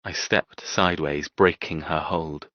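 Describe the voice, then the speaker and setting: A man narrating a dark romance audiobook in the first person as a cold, brooding, tormented man, terse and intense. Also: a bass voice, steady tone, measured pace, no room sound, lo-fi sound.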